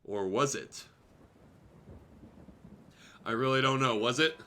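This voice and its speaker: A man's voice: mid-range voice, takes it slowly, bright, forceful tone. A man reading fan fiction aloud as a streamer, narrating dramatically with casual delivery and small self-corrections. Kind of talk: animated story narration with casual asides. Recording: music playing behind the voice. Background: music.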